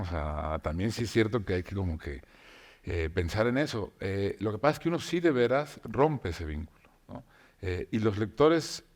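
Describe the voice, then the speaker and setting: A man, a novelist, talking reflectively about writing, with frequent pauses and restarts. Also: fairly low pitch. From Mexico. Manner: reflective, many filler hesitations and restarts. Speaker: novelist guest. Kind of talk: conversational talk show discussion.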